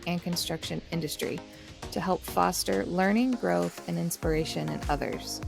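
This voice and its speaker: Gravelly-voiced woman hosting an interview. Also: lowish voice. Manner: friendly, scripted intro. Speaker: interview host.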